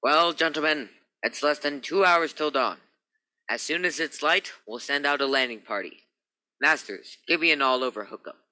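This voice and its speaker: A stern spaceship captain acted by a man in an old-time radio play. His voice is very bright and forceful, with clipped, authoritative delivery, recorded close and dry. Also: a little high, moderate pace, dry recording, low-quality recording. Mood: commanding, steady. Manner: clipped, authoritative orders and solemn announcements. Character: stern, commanding male captain of a naval spaceship.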